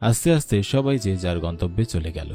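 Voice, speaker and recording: A man with a fairly low voice delivers scripted horror narration for a cartoon. Clear background music accompanies his storytelling. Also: expressive, fast, warm tone.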